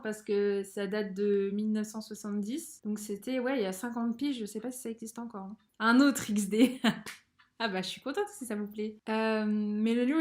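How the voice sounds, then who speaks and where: A young woman chatting casually as a livestream host, slangy, expressive and amused. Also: high voice. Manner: slangy, expressive, amused, spells out internet abbreviations. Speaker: livestream host reacting to a cartoon. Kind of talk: casual reaction chat.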